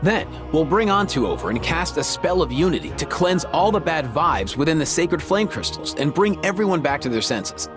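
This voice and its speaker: A man playing an earnest, kindly young schemer in a video game, casual and expressive as he explains. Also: on the high side, normal speed, hardly any pauses. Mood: earnest, persuasive. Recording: light music behind.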